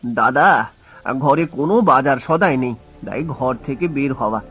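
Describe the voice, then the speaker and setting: A man playing a gentle, poor village father in a cartoon, speaking in a tender, plaintive way. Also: mid-range voice, normal speed, slightly rough, faint music behind. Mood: humble, earnest. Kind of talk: cartoon voice acting.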